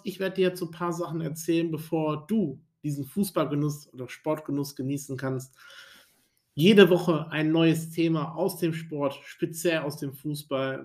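A young man with a fairly high-pitched voice speaks as a podcast host. He addresses his listeners directly in an informal, enthusiastic way, phrasing things loosely as he introduces the show. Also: a little mellow. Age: young adult.

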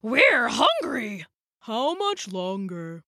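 A crude blue goblin boss from a children's storybook, taunts in a slow, gravelly croak. The pitch lurches wildly up and down, and every phrase is stretched out with cruel, gloating relish. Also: female voice, wildly swooping pitch, bright, forceful tone, dry recording, studio quality, full character voice. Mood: taunting, demanding. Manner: drawn-out, mocking delivery. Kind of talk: storybook character voice.

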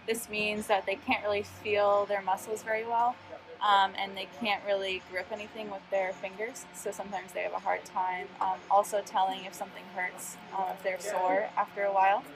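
Young woman with a flat, gravelly voice. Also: mid-range voice, moderate pace, crowd noise, poor audio. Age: young adult. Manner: explanatory, with frequent ums. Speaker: graduating engineering student. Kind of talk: casual interview answer.

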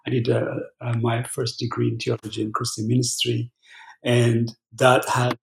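A man, a pastor answering interview questions haltingly, with many fillers and restarts. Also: mid-range voice, monotone, gravelly, a little hiss or hum. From Ethiopia. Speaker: pastor guest. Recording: roomy echo.

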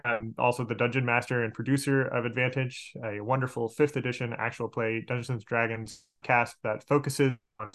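A man's voice: medium pitch, fairly bright tone. Chatty American man in casual conversation. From Arkansas, United States. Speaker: podcast guest, game master and outdoor educator. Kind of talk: casual interview chat.

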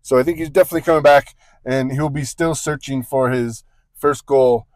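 A man's voice: medium pitch, steady tone, normal speed, a little mellow, no echo. A man co-hosting a casual sports podcast, rambling through points with joking asides and frequent self-corrections. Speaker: podcast co-host.

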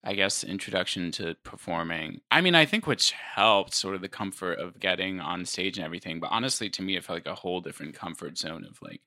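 A man's voice: low-pitched, animated, brisk, hardly any pauses, bright, forceful tone, no room sound, studio quality. A man in his twenties, a stand-up comedian, chatting casually and rambling with lots of filler words. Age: 20s. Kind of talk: casual conversation.

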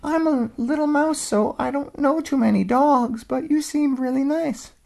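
A man voicing a timid, polite little male mouse in a bedtime story, with a high squeaky voice. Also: unhurried, vocal fry, a little mellow, exaggerated voice. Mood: pleading, polite. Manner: polite and eager.

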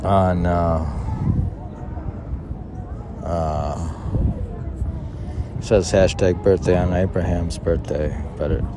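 A man reading his own poems aloud outdoors, line by line in a halting way, with casual asides. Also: sits low, steady tone. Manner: halting, spells out words, reads aphorisms line by line. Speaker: poet reading own work. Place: outdoors on a city museum lawn. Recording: outdoor recording with clear urban noise.

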